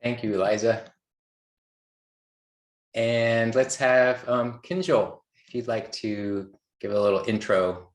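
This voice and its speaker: A man's voice: mid-range voice, steady tone, slow pace. A man hosting a panel discussion on a video call, speaking hesitantly with frequent ums and restarts. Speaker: host. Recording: echoey room.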